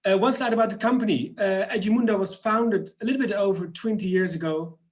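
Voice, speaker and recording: A man's voice: high-pitched, fast talker. A middle-aged man with a Dutch accent presents his company over a video call, upbeat, with many fillers and restarts. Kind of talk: webinar product presentation.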